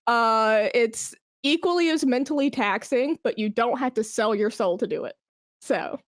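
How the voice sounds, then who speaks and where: A woman's voice: on the high side, normal speed, no echo, studio quality. A young woman talking candidly and informally as a podcast guest, with wry turns of phrase. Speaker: podcast guest, esports brand manager. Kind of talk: casual interview chat.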